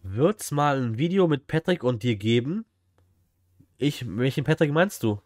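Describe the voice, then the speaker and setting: A man's voice: mid-range voice, animated, a little slow, a little mellow, no room sound, studio quality. A man, chatting casually and musing aloud as he reacts on a livestream. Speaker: streamer reacting to a video. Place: indoor streaming room.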